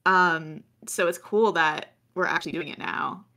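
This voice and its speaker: An American woman in her twenties with a notably low voice for a woman. She co-hosts in loose, rambling conversation, often breaking into laughter. Her pitch sits on the low side. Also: measured pace, sharp tone. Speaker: podcast co-host. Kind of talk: casual chat.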